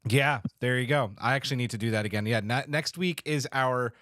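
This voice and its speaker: A man with an average-pitched voice hosts a podcast in an informal, slangy way. He chats casually and swears mildly now and then, recorded close and dry. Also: a little fast, bright, forceful tone, dry recording. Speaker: podcast host. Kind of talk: casual chat, wrapping up a podcast.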